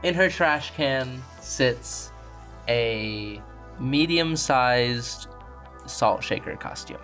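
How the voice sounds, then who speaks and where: A tabletop player with a middling pitch describes the action in a conversational, halting way. The narration is informal and improvised, with music playing underneath. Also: expressive, a bit bright. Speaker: tabletop role-play player.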